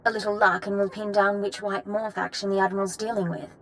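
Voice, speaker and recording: An actress playing a brisk, composed young female military ensign with dry wit in a video game. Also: mid-range voice, level tone, a bit bright, natural voice. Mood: businesslike.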